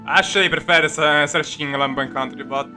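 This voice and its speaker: A man doing casual game commentary as a streamer, joking and answering characters in a halting way. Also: on the high side, fairly even intonation. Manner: halting, joking, repeats phrases. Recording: loud game music behind the voice.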